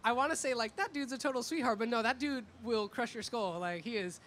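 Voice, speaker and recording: A woman's voice: on the high side, a little fast, fairly bright tone, a little hiss or hum. A woman hosting a casual, joking on-stage interview for a convention live stream. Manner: joking, informal.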